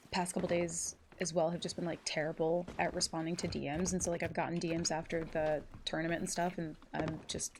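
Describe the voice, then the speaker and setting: A woman live streamer with a somewhat low, congested voice that sounds stuffy. She rambles casually through chat messages, full of fillers and swearing. A faint hiss sits under the recording. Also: darkish tone. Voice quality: nasal, congested. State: stuffy nose.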